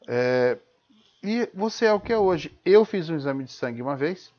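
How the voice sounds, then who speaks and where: A man's voice: on the high side, lively intonation, normal speed, a little mellow. A man with a Brazilian accent giving an informal spoken lecture in an emphatic, didactic way, punctuated with rhetorical questions and short check-in tags. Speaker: host of a live-streamed talk. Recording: dull, lo-fi live stream audio.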